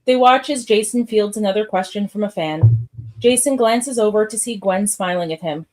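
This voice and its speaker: A woman's voice: mid-range voice, moderate pace, darkish tone, soft background music, rough recording. A woman narrator reading screenplay stage directions aloud in a scripted live read.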